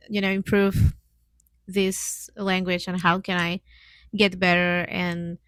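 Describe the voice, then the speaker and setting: Casting: woman, mid 20s, Mexican accent, native Spanish speaker. Informal podcast host, hesitant and giggly phrasing, medium pitch, dry sound. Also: fairly bright tone, dry recording. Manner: hesitant learner's English with frequent little giggles. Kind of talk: casual solo podcast talk.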